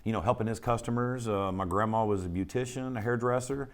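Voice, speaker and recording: A man's voice: on the low side, fairly quick. A middle-aged man from Missouri, a guest on a local talk show, speaking with many fillers and restarts. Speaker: guest, charity council president. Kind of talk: casual interview chat.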